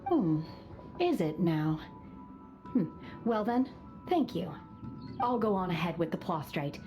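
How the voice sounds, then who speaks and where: An actress voicing an aloof, composed young woman trained by immortals, speaking in a calm, formal, measured way in a video game. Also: fairly low pitch. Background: game music.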